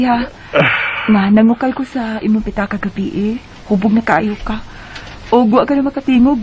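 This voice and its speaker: Woman playing kind frank waitress, highish voice, Bisaya Filipino accent, matter-of-fact radio drama delivery, lo-fi recording with faint noise. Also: dark tone, lightly acted. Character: kind, frank restaurant waitress helping a drunk customer. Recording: dull lo-fi sound, faint noise.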